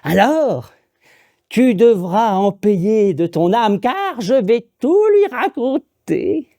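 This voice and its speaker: A storyteller voicing an old, ugly, hunched witch who is the mother of the devil king, speaking slowly and with menace. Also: medium pitch, sing-song, warm tone, no room sound, studio quality. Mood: menaçante.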